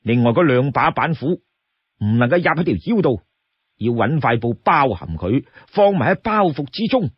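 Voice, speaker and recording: A man's voice: medium pitch, sing-song, moderate pace, husky, dry recording, highs cut off. A man voicing a shrewd, firm young outlaw in a story, instructing his companion insistently.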